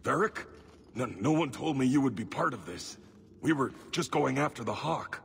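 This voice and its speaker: An actor playing a fanatical, obsessive dwarf cultist in a video game, speaking with halting zeal. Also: male voice, medium pitch, expressive, gravelly, slightly rough, long reverb tail, heavily acted. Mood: dazed devotion. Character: fanatical male dwarf cultist thug, raving and devoted. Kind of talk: video game character acting.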